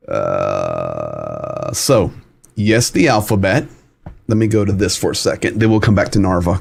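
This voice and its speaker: A man's voice: low-pitched, creaky. A man hosting a live news-commentary stream, talking casually and rambling while walking viewers through a map. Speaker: host.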